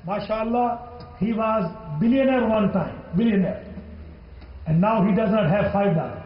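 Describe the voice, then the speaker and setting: A man with a Pakistani accent preaches in a high, slow, earnest voice, pressing each point home. The sound is dull and heavily echoey, as if taken from a big mosque hall. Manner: earnest, exhorting, repeats key phrases. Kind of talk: religious lecture with anecdotes.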